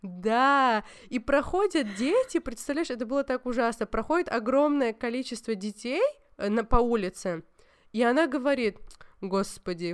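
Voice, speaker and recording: A young woman chatting casually, telling animated stories full of fillers and asides. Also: on the high side, clear, resonant tone. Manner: animated storytelling, many fillers. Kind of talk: casual chat.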